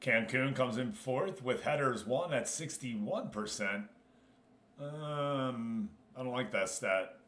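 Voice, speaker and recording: An echoey indoor recording of a man hosting a game stream. His voice sits at an average male pitch. He talks in a loose, informal way, giving running commentary and speaking directly to the people playing along. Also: slow, a bit bright. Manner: informal, chatty, addresses the group directly. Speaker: livestream host running an online football management game league. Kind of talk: casual gaming stream commentary. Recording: echoey, roomy sound.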